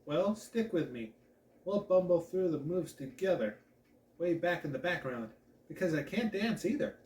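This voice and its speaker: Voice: medium pitch, expressive, normal speed, a little mellow, slight background noise, lightly acted. A man voicing a friendly, reassuring girl at summer camp in a storybook, with a breezy, joking manner. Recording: echoey room.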